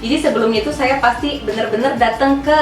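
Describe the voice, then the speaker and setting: A woman's voice: on the high side, fairly even intonation, quick pace. A young woman from Indonesia, a cook, answering interview questions at length with many fillers. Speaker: guest, Korean food cook. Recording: echoey room, mic at a distance, music behind.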